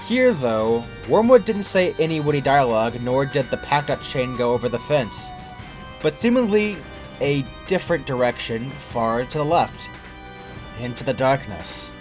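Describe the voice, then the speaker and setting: Young adult male creepypasta narrator, mid-pitched, scripted first-person read, light music bed. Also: animated, moderate pace, darkish tone. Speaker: horror story narrator.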